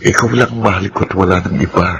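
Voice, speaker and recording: Anguished Filipino doomed fiancé. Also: male voice, deep voice, steady tone, fast, a bit bright. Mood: despairing. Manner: anguished, heavy, resigned. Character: despairing terminally ill man rejecting his fiancée. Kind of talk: melodramatic Filipino radio drama acting.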